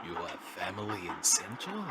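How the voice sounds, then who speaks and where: An actor playing a creepy drunken man who leers insinuatingly at a young woman, with a gravelly voice. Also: low-pitched, very animated, noisy background. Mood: leering. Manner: slow, insinuating, leering.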